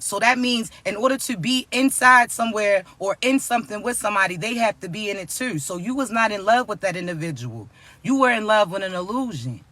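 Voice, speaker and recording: A raspy, fairly high-pitched man delivers a motivational spiritual message. His tone is bright and pressing, and he keeps checking in with his listeners, calling them chosen ones. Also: no echo. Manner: repeats tag questions like right and you understand me. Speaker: spiritual reader.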